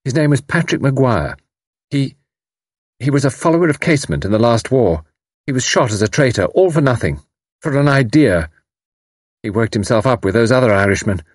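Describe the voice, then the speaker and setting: A man's voice: on the low side, animated, moderate pace, long pauses, slightly rough, no echo, studio quality. A man voicing a fierce, bitter, impetuous young woman in an audiobook, with intense, emphatic delivery. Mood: resentful. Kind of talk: audiobook character dialogue.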